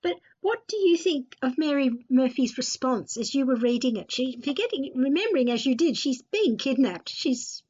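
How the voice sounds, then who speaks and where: A woman with a distinctly high voice hosts a conversational radio discussion. She talks informally, as one steering a panel. The recording is a little dull and lo-fi. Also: animated, moderate pace, hardly any pauses, darkish tone, husky. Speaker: host leading a panel discussion. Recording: dull, lo-fi sound.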